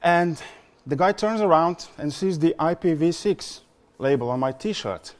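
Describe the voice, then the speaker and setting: A man's voice: on the high side, animated, a little slow. A man in his forties from Slovenia, speaking English with a Slovenian accent as a non-native speaker, giving a conference presentation with many fillers and repeated words.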